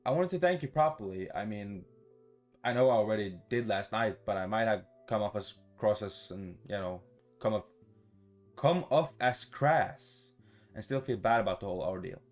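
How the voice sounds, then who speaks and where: Man reading as a shy, halting young protagonist. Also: fairly low pitch, lively intonation, natural voice. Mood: awkward, grateful. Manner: halting, awkward. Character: shy, rambling young visual novel protagonist. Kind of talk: visual novel dialogue read-aloud. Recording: music behind the voice.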